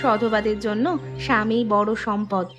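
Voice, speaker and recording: A woman voicing a devoted, selfless village wife, gentle and reassuring, in an animated story. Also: mid-range voice, normal speed, close to an everyday voice. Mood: caring, reassuring. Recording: clear music behind the voice.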